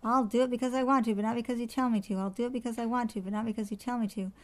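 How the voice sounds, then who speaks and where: A woman voicing a rude, bossy female pet parrot in a children's story, squawking and repeating herself in a shrill, sassy way. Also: steady tone, rapid-fire, natural voice. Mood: defiant. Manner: loud, repetitive, sassy yelling.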